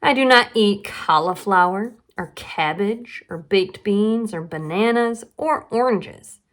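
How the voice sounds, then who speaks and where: A woman's voice: medium pitch, takes it slowly, fairly bright tone. Woman as a fussy, emphatic little storybook girl. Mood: stubborn refusal.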